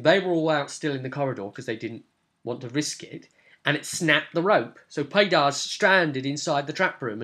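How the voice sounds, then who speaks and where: A man with a bright, forward voice gives a casual recap as a game master. He explains things in a rambling but keen way, at a middling pitch, as if talking a viewer through his session.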